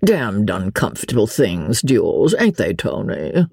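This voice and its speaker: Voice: mid-range voice, big pitch swings, a little slow, vocal fry, a little mellow, breathy edge, no room sound, clean studio sound, heavily acted. A narrator voicing a lazy, foppish English baronet with a bland, sleepy, good-humoured British drawl and an inane chuckle. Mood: leisurely good humour.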